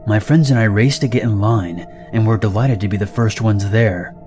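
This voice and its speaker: A man narrating horror stories in an even, measured voice. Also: lowish voice. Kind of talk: scripted narration of reader-submitted stories. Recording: narration over loud background music.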